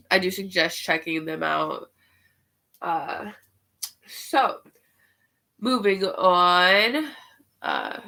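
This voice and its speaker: A woman with a cold and a nasal voice, unhurried and full of "um" fillers, giving spiritual guidance and leading a meditation on a live stream. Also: fairly low pitch, bright, forceful tone. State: sick with a cold.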